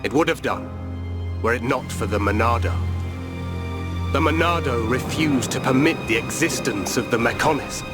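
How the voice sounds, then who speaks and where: An actor playing a grave, sorrowful and vengeful war leader in a video game cutscene, with a solemn, formal, deliberate delivery. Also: male voice, mid-range voice, loud music behind the voice, close to an everyday voice.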